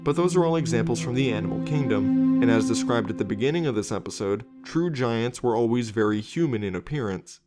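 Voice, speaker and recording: A man's voice: mid-range voice, animated, brisk, few pauses. A man hosting and narrating a scripted informational documentary. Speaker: host and narrator. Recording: music under the voice. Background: background music.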